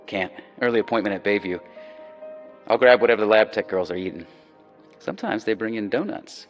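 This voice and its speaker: A man's voice: lowish voice, animated, a little fast, muffled recording, faint music behind, close to an everyday voice. A man playing an easygoing, evasive young 1950s doctor and husband in a radio drama. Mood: breezy.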